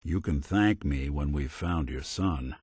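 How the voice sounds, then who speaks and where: A man's voice: rumbling low, measured pace, a little mellow, husky, dry recording, clean studio sound, full character voice. A male actor playing a weary old android detective in a video game, talking in wry, slangy, hard-boiled noir style. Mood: reassuring.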